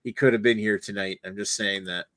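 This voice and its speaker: A man's voice: lowish voice, fairly even intonation, brisk, sharp tone, dry recording, clean studio sound. A man hosting a beer review panel in loose, casual chat, steering the talk and swearing now and then. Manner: loose, swearing, leads the show.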